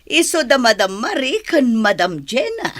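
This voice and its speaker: A woman playing a welcoming, motherly public school teacher in a radio drama, addressing others clearly with a Filipino accent. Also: sits high, sing-song, unhurried, fairly bright tone, exaggerated voice. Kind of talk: Ilocano radio drama acting.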